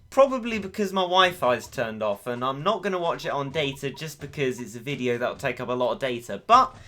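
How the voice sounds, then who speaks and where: Lively chatty man, bright voice. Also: mid-range voice, a little fast. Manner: chatty, upbeat, self-deprecating jokes. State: voice going hoarse. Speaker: gaming YouTuber. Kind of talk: let's play commentary.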